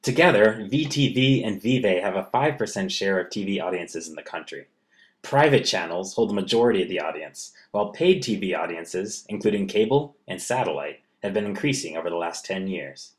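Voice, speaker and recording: Low-pitched man reading a script. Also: lively intonation, brisk. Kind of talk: scripted narration.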